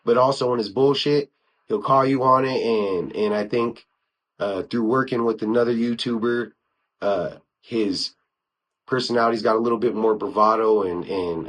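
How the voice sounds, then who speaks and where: Low-voiced American man, casual and slangy. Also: a bit bright. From United States (Wyoming). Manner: informal and slangy, with frequent conversational asides. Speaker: podcast host. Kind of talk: casual solo podcast talk, reviewing YouTube channels.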